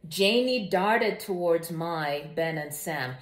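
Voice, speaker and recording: A woman presents children's books in a lively, swooping voice with an unhurried pace. Her delivery is enthusiastic and deliberate, like a storyteller. The room adds noticeable echo. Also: on the low side. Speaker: book reviewer and children's read-aloud host. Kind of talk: scripted-sounding channel introduction and animated children's story reading.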